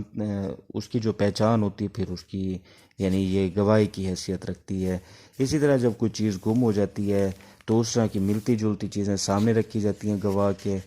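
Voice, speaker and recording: Pakistani guy teaching a class, deep soft-edged mellow voice, explains stuff with lots of pauses. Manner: explanatory, halting with pauses between phrases. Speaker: law teacher. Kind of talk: informal lecture explaining exam material.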